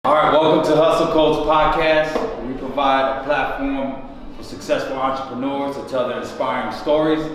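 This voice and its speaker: A man's voice: mid-range voice, steady tone, normal speed, fairly bright tone, faint music behind. A man hosting a podcast, introducing and hyping his guest in an upbeat manner. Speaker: podcast host. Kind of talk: podcast host introduction and interviewing. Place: restaurant. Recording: echoey room with long reverb.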